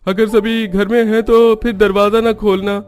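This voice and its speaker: A man's voice: high-pitched, little pitch movement, normal speed, faint music behind, pitched above the performer's own voice, close to an everyday voice. A man voicing an alarmed village neighbor in a cartoon story. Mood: alarmed warning.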